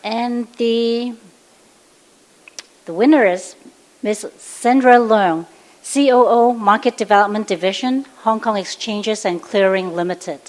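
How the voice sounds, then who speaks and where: A woman's voice: on the high side, slow, a little mellow, rough recording. A woman presenting an award on stage, formally building up to the winner's name. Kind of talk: stage announcement.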